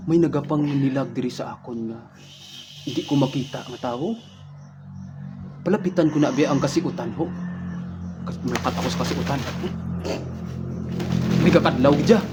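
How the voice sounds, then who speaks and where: A man performing an improvised comic radio drama in an exaggerated way, narrating his own actions aloud one by one. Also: medium pitch. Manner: exaggerated, spells out each action. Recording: noisy amateur recording with clicks.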